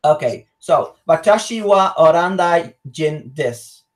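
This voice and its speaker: A man's voice: on the high side, animated, measured pace. A man with a Dutch accent, a guest in a casual live chat, curious and full of questions. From Netherlands.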